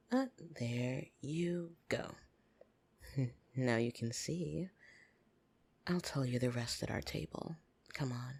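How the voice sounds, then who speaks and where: A woman playing a possessive, teasing vampire in an intimate ASMR roleplay, breathy and drawling, slipping into whispers. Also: a bass voice, darkish tone, no room sound, exaggerated voice. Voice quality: husky, creaky. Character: possessive, teasing female vampire doting on her human pet. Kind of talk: ASMR roleplay, scripted monologue to the listener. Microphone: close, dry microphone.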